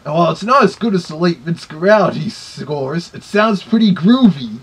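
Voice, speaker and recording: A man's voice: fairly high pitch, lively intonation, moderate pace, husky. A man giving casual review commentary in a small bedroom, picked up by a camera mic.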